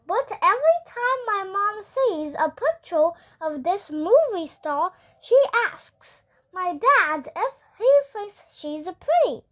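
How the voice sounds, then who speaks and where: A storybook reader giving an expressive first-person read-aloud of a children's chapter book. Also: very high-pitched, takes it slowly.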